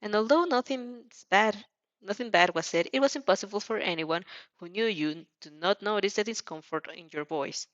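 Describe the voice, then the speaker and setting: A woman narrating fan fiction in the second person, expressive and dramatic, now and then stumbling and rereading a line. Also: mid-range voice, normal speed, no echo. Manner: expressive, stumbles over words and rereads lines. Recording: dull, muffled lo-fi sound.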